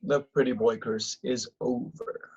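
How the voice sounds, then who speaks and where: A man's voice: mid-range voice, steady tone, unhurried, darkish tone, breathy edge. An actor playing a determined, bossy young man in a comedy script read over a video call. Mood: insistent. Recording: muffled, lo-fi video-call audio.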